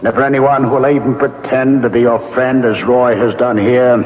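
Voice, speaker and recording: An actor playing a grave, moralizing ghost of a man in a classic radio mystery, speaking in measured, formal, sermonizing phrases. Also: medium pitch, little pitch movement, close to an everyday voice. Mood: solemn, condemning. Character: male ghost, century dead, grave, moralizing, solemn. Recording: echoey, noisy, tinny old radio broadcast.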